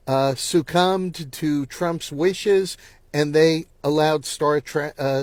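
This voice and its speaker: A male commentator speaking in a measured, pointed way. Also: fairly high pitch, dry recording.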